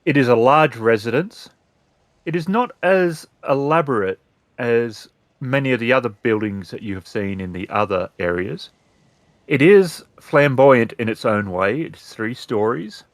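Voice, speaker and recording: A man narrating a tabletop roleplaying game as storyteller, describing scenes in measured, deliberate phrases. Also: mid-range voice, expressive, no echo.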